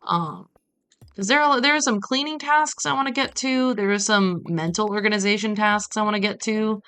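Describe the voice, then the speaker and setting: A woman from Brazil chatting casually as she streams a game, telling stories in an animated way with playful asides. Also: medium pitch, moderate pace, clean tone. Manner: animated storytelling with acted-out quotes and playful asides. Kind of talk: casual chat while playing a game on a livestream.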